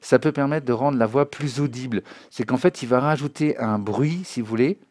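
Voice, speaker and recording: A man giving an informal spoken tutorial as a music software trainer, explaining as he demonstrates and often checking that listeners follow. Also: medium pitch, normal speed, slight background noise. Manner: conversational, checks understanding often with short tag questions. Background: music and synth demo sounds.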